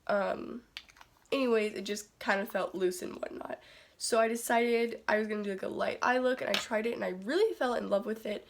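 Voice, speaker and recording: A teenage girl chatting casually to her phone camera in a beauty vlog, her voice raspy and congested from a cold. She rambles with lots of "like" and "um". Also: on the high side, brisk, a little hiss or hum. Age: teens. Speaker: beauty vlogger.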